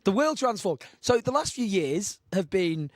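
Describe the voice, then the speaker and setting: A man in his thirties hosting a live chat show, with a husky voice and a rambling, self-mocking way of talking. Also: sits high, very animated, a little slow, no room sound, clean studio sound. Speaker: host.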